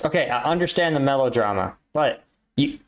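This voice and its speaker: A man's voice: medium pitch, animated, a little fast, over music. Male reaction host, casual and critical, explanatory delivery with an exasperated streak. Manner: exasperated, explanatory, rhetorical "right?" tags. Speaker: reaction video host, army combat veteran.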